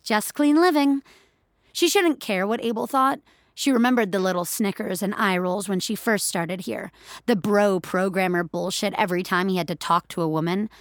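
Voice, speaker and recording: A woman's voice: mid-range voice, normal speed, no echo, clean studio sound. A woman narrating scripted fiction as a storyteller.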